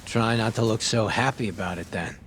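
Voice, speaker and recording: An actor playing a jaded, wry police detective in a video game cutscene, talking in a casual, blunt, sardonic way. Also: male voice, lowish voice, fairly quick, vocal fry, a bit bright, a little hiss or hum.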